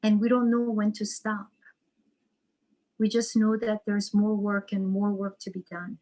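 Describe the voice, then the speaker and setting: Slow, measured woman author. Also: mid-range voice, little pitch movement, warm tone, rough recording. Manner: slow, measured, thoughtful with repetitions. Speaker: psychotherapist and author, guest. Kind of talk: reflective interview answers.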